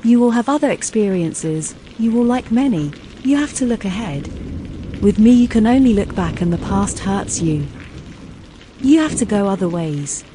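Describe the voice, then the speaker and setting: A man voicing a gentle, consoling young woman in an audiobook, speaking softly and tenderly. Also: sits high, expressive, moderate pace, background noise, pitched above the performer's own voice. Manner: soft, tender, soothing. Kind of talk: audiobook character voice.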